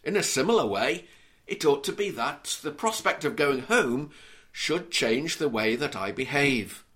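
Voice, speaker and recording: A man from Liverpool with a mild Scouse accent, preaching an earnest, measured sermon and reading scripture aloud. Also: fairly high pitch, lively intonation, a bit bright. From Liverpool, England.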